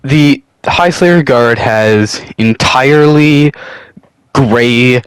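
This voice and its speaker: A man's voice: on the low side, fairly bright tone, clean tone, no echo, rough recording. A young man with a cold, sniffling a little, hosting his channel and talking casually through a loosely scripted hobby topic, rambling and swearing now and then. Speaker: channel host.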